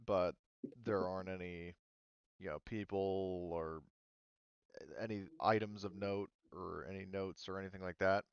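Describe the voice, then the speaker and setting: Low, smooth-voiced man as game master. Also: fairly even intonation, no echo, lo-fi sound. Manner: informal, halting explanations. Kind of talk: running a tabletop roleplaying game.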